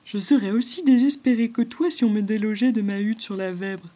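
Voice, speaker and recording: A sympathetic beaver, female and earnest, speaks up loudly and warmly to a newcomer, as a woman voices her in a storybook. The tone is a little raised, with a husky, mellow grain. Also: mid-range voice, a little fast, lightly acted.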